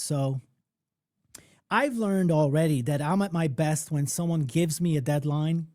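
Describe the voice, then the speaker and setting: Animated man pitching a live workshop. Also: no echo, studio quality. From Poland. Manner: conversational, animated storytelling with frequent "okay?" and "right?". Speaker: music producer and mentor hosting a workshop.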